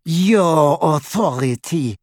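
A man voicing a shrill, sneering witch. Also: big pitch swings, unhurried, darkish tone, slightly rough, dry recording, studio quality, heavily acted. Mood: shrieking. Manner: sneering, shrieking. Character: shrill, cruel, haughty witch woman.

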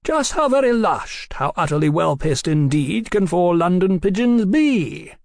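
A haughty storybook bandit chief. He is dainty and supercilious, with elaborate, sneering phrasing. The voice sits raised, with lively swings of pitch. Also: slow, no echo. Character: dainty, haughty male marauder gang leader with pistol.

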